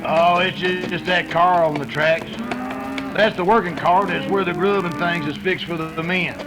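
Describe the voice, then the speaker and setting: A man's voice: high-pitched, fairly even intonation, normal speed, music in the background, natural voice, low-quality recording. A man playing a bossy cattle-rustling gang leader with folksy, ungrammatical cowboy talk in an old-time radio western. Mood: uneasy.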